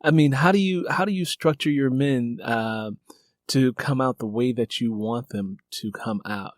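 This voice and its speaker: A man with an average-pitched voice conducts a casual interview. He often repeats or rephrases what he has just heard, and the sound is dry with little room in it. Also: measured pace. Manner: echoes and restates the guest's answers.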